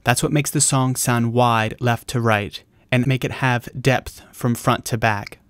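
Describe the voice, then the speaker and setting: A man's voice: medium pitch, steady tone, a little slow, smooth, no echo. A man presenting an explanatory video tutorial in a plain-spoken, informal instructional way.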